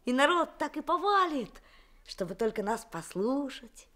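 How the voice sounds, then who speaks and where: Cheeky teen boy, high, animated, unhurried; radio drama. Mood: playful. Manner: playful, laughing. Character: teenage boy, cheerful, teasing friend. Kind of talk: 1960s Soviet radio drama acting.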